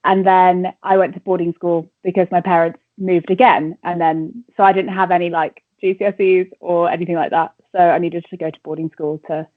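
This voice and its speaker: A woman's voice: fairly low pitch, level tone, a little fast, hardly any pauses, no echo. A woman in her twenties from Wales with an English accent, chatting casually as a radio presenter with lots of fillers and laughs. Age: 20s. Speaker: radio presenter and entertainment reporter. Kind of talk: casual interview answers. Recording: tinny phone-line sound.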